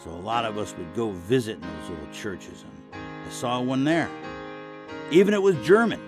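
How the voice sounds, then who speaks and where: An actor playing a weary, wistful elderly American war veteran, reminiscing in a folksy, halting, rambling way as an audio drama monologue. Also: male voice, lowish voice, very animated, music in the background. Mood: earnest, reverent.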